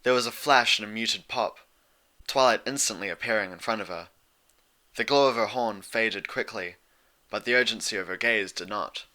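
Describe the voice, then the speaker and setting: A man reading fiction aloud as an expressive scripted story narrator. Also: lowish voice, moderate pace.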